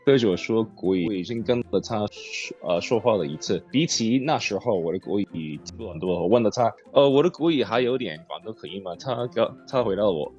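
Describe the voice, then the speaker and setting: Young American guy, casual interviewee, quick and loose, lowish voice, muffled lo-fi sound. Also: lively intonation, a little mellow, faint music behind. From United States. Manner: laid-back, chuckles often, hedges with "like". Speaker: language program student.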